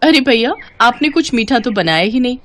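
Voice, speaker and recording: A woman voicing a sweet, coaxing young bride and quietly scheming daughter-in-law in an animated moral story. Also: fairly high pitch, moderate pace, background noise, voice pushed up, close to an everyday voice. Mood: insistent, cheerful. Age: young adult.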